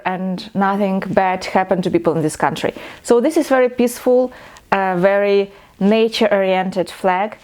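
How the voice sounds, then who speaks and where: A woman in her thirties from Ukraine, with a Ukrainian accent, talking about books to camera with careful pauses and fillers. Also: fairly high pitch, darkish tone. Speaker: book vlogger. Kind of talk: casual talk to camera.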